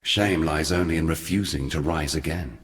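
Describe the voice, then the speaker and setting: A man playing an ancient, forgotten god in a video game, somber and weary, speaking solemnly and deliberately in archaic, portentous phrases. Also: a bass voice, long reverb tail. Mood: stern exhortation. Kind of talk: scripted video game character dialogue.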